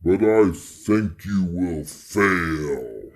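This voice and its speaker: A man's voice: low-pitched, expressive, voice pushed down, exaggerated voice. A man voicing a menacing, sneering eagle villain in a storybook, drawing out his taunts. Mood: menacing, taunting. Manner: drawn-out, taunting delivery.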